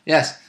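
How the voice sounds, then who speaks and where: A British man chatting casually about a game session as a player, jokey and sweary, often quoting characters. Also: medium pitch.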